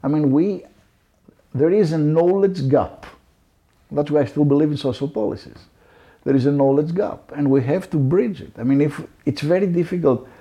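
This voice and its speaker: A middle-aged man, a law professor, answering interview questions in an animated, talkative way with frequent asides. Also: a little high, moderate pace, slightly rough.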